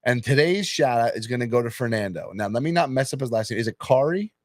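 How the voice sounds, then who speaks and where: A young man hosting a casual podcast, hyping up matches and sponsors with excitable energy. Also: medium pitch, quick pace, no room sound, clean studio sound. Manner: hyped, excitable, promotional. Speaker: podcast host and arm wrestling promoter.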